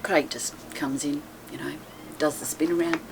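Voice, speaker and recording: Low-pitched woman, chatty storyteller, faint background noise. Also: normal speed. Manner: chatty, laughs often, lively retelling. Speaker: guest boat owner. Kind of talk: casual storytelling chat.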